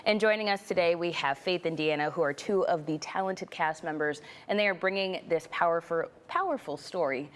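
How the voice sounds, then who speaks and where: A woman's voice: lowish voice, a little fast, few pauses. A woman hosting a lifestyle show interview in an upbeat, effusive and affirming broadcast manner.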